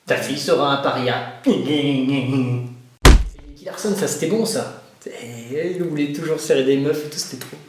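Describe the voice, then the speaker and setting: A man's voice: mid-range voice, unhurried, darkish tone. A man talking casually and exclamatorily in a conversational comedy sketch. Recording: very echoey, distant mic, faint noise.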